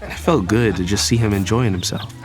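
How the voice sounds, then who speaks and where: An actor playing a cheeky young student son and brother in an audio drama, playful but sincere, with reflective first-person narration. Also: male voice, on the low side, lively intonation, brisk, natural voice. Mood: reflective, warm. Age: young adult. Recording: music prominent behind voice.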